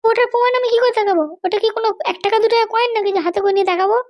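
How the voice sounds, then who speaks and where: A woman's voice: extremely high pitch, rapid-fire, a little mellow. A woman voicing a haughty, threatening female political boss in a comedy cartoon, bossy and indignant with a childlike voice. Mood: defensive. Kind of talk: Bengali comedy cartoon dialogue.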